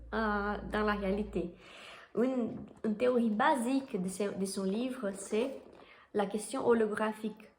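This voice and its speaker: A woman from Brazil with a Brazilian Portuguese accent, a Reiki teacher whose first language is Portuguese, talking enthusiastically about a book and often restarting as she searches for words. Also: a little high. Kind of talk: casual book talk to camera. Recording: roomy echoey room.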